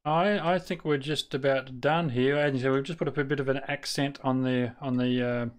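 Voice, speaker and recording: A man's voice: mid-range voice, fairly even intonation. Rambling Australian man streaming a game. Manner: rambling and halting, many fillers and restarts, mutters at the game. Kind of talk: casual live-stream chat while playing.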